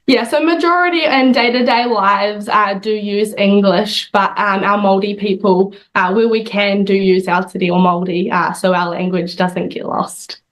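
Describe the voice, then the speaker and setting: A woman's voice: mid-range voice, fairly even intonation, normal speed, few pauses, rough recording. Young New Zealand woman answering questions. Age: young adult. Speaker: guest. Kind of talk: interview answers.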